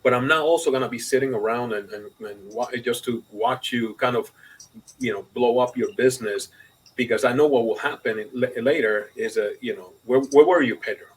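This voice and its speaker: A man co-hosting a casual business podcast, talking in a halting, repetitive way and checking the listener follows. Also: medium pitch, husky, poor audio. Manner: halting, repetitive, often asks "you know what I mean". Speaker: podcast co-host and accountant. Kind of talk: casual conversational business talk.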